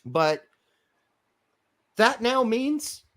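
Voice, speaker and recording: A man's voice: very high-pitched, very animated, drawn-out words. A man in his fifties with an American accent, raised in Germany, co-hosting a casual chat and ranting with heavy profanity. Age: 50s. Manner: profane ranting, loud outbursts. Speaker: podcast co-host.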